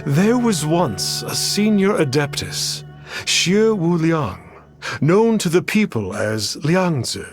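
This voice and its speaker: An actor playing a pompous self-proclaimed mystic sage in a video game, speaking in a grandiose, archaic way and calling himself "one". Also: male voice, on the high side, big pitch swings, slow pace, faint music behind. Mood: lofty, persuasive. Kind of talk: theatrical video game dialogue.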